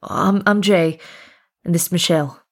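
A woman's voice: lowish voice, moderate pace. A woman voicing an awkward, polite young male university student in storybook dialogue, speaking hesitantly.

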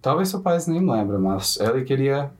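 A man co-hosting a casual interview who pauses to search for words as he asks questions. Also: medium pitch, sing-song. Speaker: co-host.

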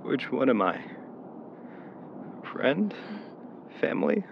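An actor in an audio drama playing a devoted, protective young boyfriend, anxious and close to panic. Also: male voice, medium pitch, sing-song, takes it slowly, gravelly, exaggerated voice. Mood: tender, light. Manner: soft reassurance swinging to desperate outbursts. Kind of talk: naturalistic audio drama acting. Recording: muffled, loud vehicle noise. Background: vehicle driving noise.

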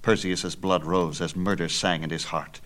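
A man narrating a myth as scripted storytelling, with theatrical, declamatory delivery in measured phrases. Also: low-pitched, steady tone, fairly bright tone.

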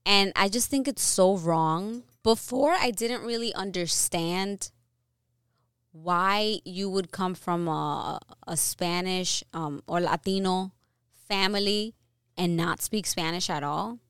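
A young woman co-hosting a casual podcast, earnest and opinionated, restarting her sentences often. Her voice is smooth and slightly low. The sound is close and dry, with no room around it. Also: slow pace, fairly bright tone, dry recording. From New York, US. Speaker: podcast co-host.

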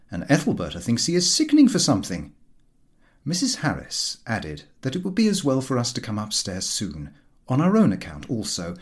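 A man narrating a humorous period novel as an expressive audiobook reading. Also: fairly low pitch, slightly rough.

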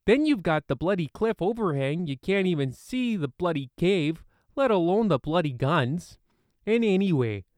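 Guy doing a cranky australian pilot who swears nonstop, highish voice. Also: no echo, clean studio sound, close to an everyday voice. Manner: swears constantly, gruff and defiant. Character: angry foul-mouthed Australian squadron leader. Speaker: squadron leader. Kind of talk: comedy audio drama.

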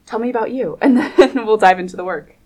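A woman's voice: fairly high pitch, fairly quick, darkish tone. A young woman hosting a student radio interview in a studio, upbeat and laughing often. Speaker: radio host.

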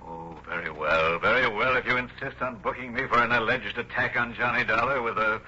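An actor playing a smug, educated villain in a 1960s radio drama, with a pompous, formal and sardonic manner. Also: male voice, mid-range voice, fairly quick, bright, forceful tone. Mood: smug, haughty. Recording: muffled, noisy old radio recording.

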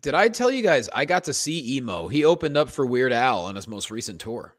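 A man's voice: medium pitch, fairly quick, no room sound, studio quality. A man who co-hosts a comedy podcast, telling anecdotes casually and acting out what people say. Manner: animated, acts out quotes.

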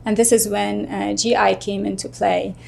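A woman from Jordan, a physician guest, answering interview questions in a measured way with frequent ums and uhs. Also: mid-range voice, level tone, gravelly, a little mellow. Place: studio.

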